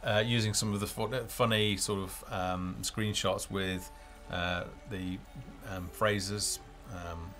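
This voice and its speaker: Low-voiced man chatting on a live stream. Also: flat delivery, slow, hardly any pauses, fairly bright tone. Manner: hesitant, rambling, thinking aloud. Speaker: game developer hosting a live stream. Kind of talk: casual unscripted live stream chat. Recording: music playing behind the voice.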